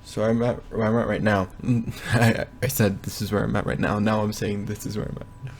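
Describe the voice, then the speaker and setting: A young man giving a casual, rambling progress update from his bedroom, often pausing to think aloud. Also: fairly low pitch, little pitch movement, slight background noise. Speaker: language learner vlogger. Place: bedroom at home.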